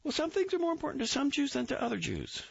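A man doing a high, wheedling young army private. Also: huge pitch swings, quick pace, vocal fry, no echo, full character voice. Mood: pleading. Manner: pleading, eager, overly polite. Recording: dull dry recording.

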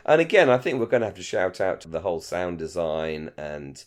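A man with a low-pitched voice chats as a podcast co-host. He is appreciative and emphatic, circling back to repeat his phrases as he casually talks things over. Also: very animated, brisk. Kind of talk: casual discussion of a radio drama.

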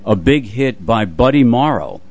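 An older man reminiscing as a radio co-host and introducing records in a measured, halting way with pauses. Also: mid-range voice, lively intonation. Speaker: radio co-host recalling his music career.

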